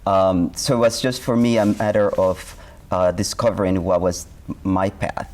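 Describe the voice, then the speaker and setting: A man from Honduras, an artistic director answering interview questions earnestly, often pausing with fillers and restarting. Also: low voice, fairly even intonation, a little mellow. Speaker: dance company artistic director. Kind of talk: studio TV interview guest. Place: TV studio.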